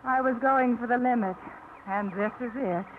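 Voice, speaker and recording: An actress playing a flustered, nervous middle-aged woman who fishes, with a breathy, giggly voice, in a 1950s radio drama. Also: fairly high pitch, moderate pace, a little hiss or hum, natural voice. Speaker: lead actress. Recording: dull old radio broadcast.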